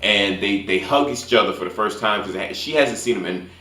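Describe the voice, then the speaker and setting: A man giving a casual spoiler-filled movie review as a YouTuber, haltingly, often repeating and restarting his phrases. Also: fairly low pitch, pushed and bright, echoey room, faint music behind.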